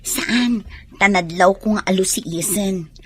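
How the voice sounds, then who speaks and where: An actress playing a secretive, anxious young woman in an Ilocano radio drama, with a breathy voice. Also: mid-range voice, takes it slowly, a bit bright, dry recording. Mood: worried.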